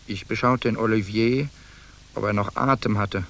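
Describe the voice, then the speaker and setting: A man's voice: on the low side, fairly even intonation, normal speed, close to an everyday voice. An actor in a German radio drama playing a hardened soldier who narrates his own tale in archaic, clipped diction. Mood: grim, vivid.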